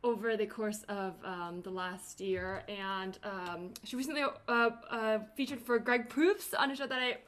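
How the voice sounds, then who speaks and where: A woman hosting a casual interview podcast, chatty and quick to laugh, talking over a video call. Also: mid-range voice, fairly bright tone. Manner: chatty, laughs often, many fillers and restarts. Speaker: podcast host. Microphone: remote video call.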